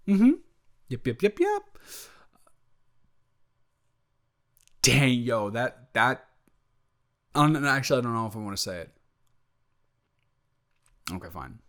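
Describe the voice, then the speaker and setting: A man acts out a young male roommate with a crush in an intimate audio roleplay. He sits at an average male pitch and speaks casually to one listener. He stammers, cuts himself off and dodges the point with playful jokes. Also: expressive, unhurried, long pauses, fairly bright tone. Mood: playful, teasing. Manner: rambling, stammering, self-interrupting, playful. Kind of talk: improvised boyfriend roleplay addressed to the listener.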